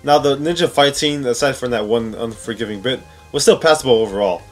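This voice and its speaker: A man's voice: mid-range voice, a little fast. A man giving informal, sarcastic countdown commentary on video game scenes, often incredulous and swearing. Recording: music playing behind the voice. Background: background music.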